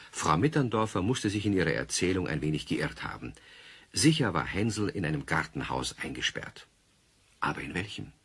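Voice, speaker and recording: A man narrating a children's radio play as a husky-voiced storyteller. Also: deep voice, a bit bright, full character voice.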